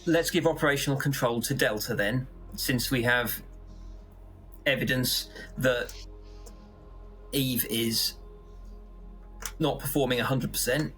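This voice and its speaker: A man playing a flustered male station manager with a middling-pitched voice. He talks haltingly and cautiously, doubling back on his words in a conversational sci-fi drama with faint music behind. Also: a bit bright, close to an everyday voice, low-quality recording. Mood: hesitant. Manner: halting, repeats himself, sighing.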